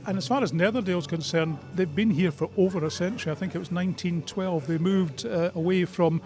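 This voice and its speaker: High-pitched, quick Scottish male presenter. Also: over music. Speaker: sports radio presenter, interviewer. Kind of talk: live conversational sports interview.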